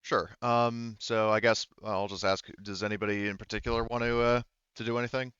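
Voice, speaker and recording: A man's voice: lowish voice, flat delivery, a bit bright, clean tone, dry recording, muffled recording. A man running a tabletop roleplaying game as game master, narrating off the cuff and searching for words. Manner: halting, hedging, searches for words.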